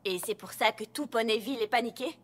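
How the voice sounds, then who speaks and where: A woman's voice: fairly high pitch, quick pace, heavy background noise, lightly acted. A woman playing a level-headed, bookish young female pony who reasons in an articulate, skeptical way in a cartoon dub. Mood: explaining. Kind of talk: animated cartoon dialogue dub.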